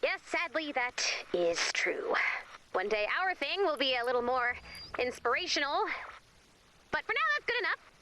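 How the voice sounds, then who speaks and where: An actress playing a quirky, upbeat young team leader in a video game, chatty and animated with comedic delivery. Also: female voice, high-pitched, moderate pace, slight background noise, heavily acted, low-quality recording. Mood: resigned. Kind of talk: video game character voice acting.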